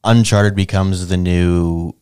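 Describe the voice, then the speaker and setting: A man's voice: low-pitched, fairly even intonation, slow pace, dark tone, clear, resonant tone, no room sound, studio quality. A man co-hosting a podcast in casual, conversational talk about films. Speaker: podcast co-host. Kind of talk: casual film discussion chat.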